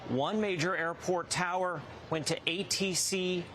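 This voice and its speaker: A male correspondent gives a live news report from an airport. His voice is fairly high for a man, with light ambient noise behind it. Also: fairly even intonation, measured pace, a bit bright.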